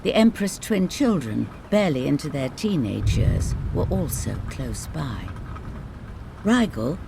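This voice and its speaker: A storyteller narrating a scripted bedtime fairy tale in measured, dramatic phrases. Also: big pitch swings. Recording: clear rain and rumble noise behind. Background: rain ambience.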